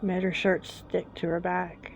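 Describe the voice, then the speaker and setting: A woman narrating a scripted horror story in a slow, hushed, suspenseful manner with long deliberate pauses. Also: lowish voice, little pitch movement, creaky. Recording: dull lo-fi, music bed behind. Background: background music.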